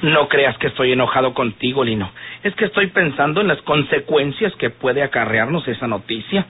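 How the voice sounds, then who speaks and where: A man's voice: medium pitch, lively intonation, moderate pace, breathy edge. An actor playing a fussy, effeminate man with a Mexican accent, petulant and sighing, in old radio drama style. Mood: grave, reproachful. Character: fussy, effeminate man fretting over his honor. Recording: muffled, phone-like old radio recording.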